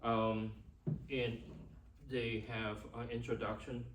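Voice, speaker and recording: A middle-aged man with a Taiwanese accent and Chinese as his first language, giving an informal, halting talk as a class instructor. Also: mid-range voice, flat delivery. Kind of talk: informal teaching and personal introduction. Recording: echoey room, distant mic, faint noise.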